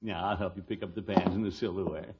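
A man's voice: low-pitched, fairly even intonation, lightly acted. A man playing a fussy, genial middle-aged uncle with a blustery, sputtering delivery in a 1940s radio comedy. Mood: coaxing. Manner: blustery, sputtering, with drawn-out exclamations. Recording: muffled, noisy old radio recording.

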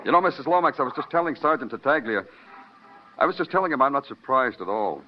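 An actor playing a sardonic, hard-boiled police detective in an old-time radio crime drama, questioning in a clipped, dry way. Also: male voice, medium pitch, expressive, fast talker, natural voice. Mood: coldly accusing. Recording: dull vintage radio recording with music.

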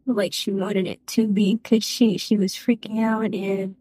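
A woman giving courtroom testimony in a halting, hesitant way, with many restarts and fillers. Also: mid-range voice, flat delivery, dark tone, breathy edge, no room sound, low-quality recording. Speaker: witness.

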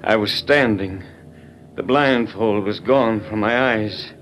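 A low-voiced grave army major who went undercover as a Western gunfighter. He reports in earnest, dramatic old radio style. The vintage recording has a noisy bed. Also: takes it slowly. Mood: grave, weary.

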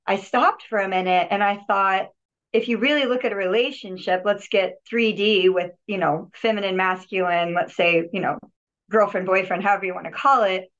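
A woman's voice: medium pitch, a bit bright. A woman guest on a podcast telling her personal healing story in an earnest, reflective way, often restarting and repeating words. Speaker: podcast guest, healer and yogi. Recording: dull lo-fi audio.

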